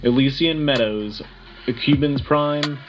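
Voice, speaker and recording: A man's voice: mid-range voice, a little slow, close to an everyday voice. Resolute wartime radio broadcaster, voiced by a man, dull sound. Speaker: news host. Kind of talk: scripted radio war report. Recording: dull audio with music underneath.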